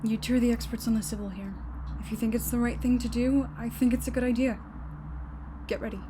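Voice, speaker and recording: A woman's voice: on the high side, fairly even intonation, quick pace, close to an everyday voice. A woman playing a curt, no-nonsense operator of a time machine in an audio drama. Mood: brisk, commanding. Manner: curt, bossy. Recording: clearly noisy background.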